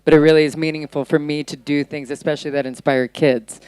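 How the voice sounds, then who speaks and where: Style: rich. A deep, low-pitched woman speaks in a smooth, resonant tone. Her delivery is blunt and direct, and the recording is dry and close. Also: level tone, fast talker, darkish tone, no room sound, low-quality recording. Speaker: panelist, event creator. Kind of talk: panel talk. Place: conference stage.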